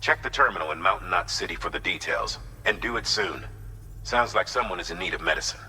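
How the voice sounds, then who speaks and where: An actor playing a businesslike superior in a video game, relaying orders with brisk authority. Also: male voice, low-pitched, expressive, pushed and bright, exaggerated voice. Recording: long reverb, faint noise.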